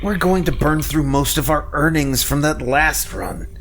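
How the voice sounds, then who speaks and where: A man's voice: on the high side, measured pace, gravelly, a bit bright, slight background noise. A man playing a roguish space smuggler in a scripted sci-fi audio drama, wry, defiant and sardonic. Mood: dismissive.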